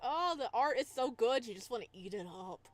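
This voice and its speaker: A woman's voice: on the high side, lively intonation, a little fast. A woman chatting casually on a livestream as she plays a game, rambling in a giggly, playful way. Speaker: live streamer playing a visual novel.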